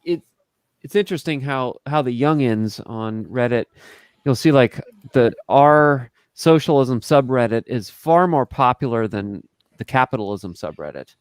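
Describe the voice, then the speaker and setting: A man's voice: mid-range voice, lively intonation, a little slow, clear, resonant tone, no room sound. A man in his early forties chatting casually in a group, often repeating his own phrases. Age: early 40s.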